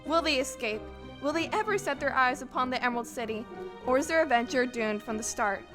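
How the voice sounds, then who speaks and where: A woman's voice: sits high, a little fast, over music. A woman hosting an audio play with scripted, dramatic announcements. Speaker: host.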